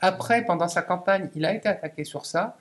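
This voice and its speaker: A man's voice: sits high, fairly quick, a bit bright. Hesitant man. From Tunisia. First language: Tunisian Arabic. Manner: hesitant, many restarts and fillers. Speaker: essayist answering audience questions. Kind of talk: conference talk.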